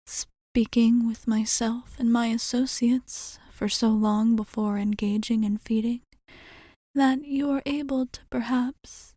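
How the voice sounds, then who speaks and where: A woman voicing a composed, caring vampire bartender in an ASMR roleplay, half-whispering with measured, formal wording and thoughtful pauses. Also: on the high side, dark tone, no room sound. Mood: thoughtful, reassuring. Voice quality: breathy, whispery.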